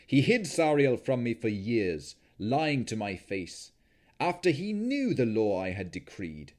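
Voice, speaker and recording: A man's voice: sits low, lively intonation, normal speed, a little mellow. Man voicing a stern vampire lord. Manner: imperious, stern. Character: proud cold male vampire lord.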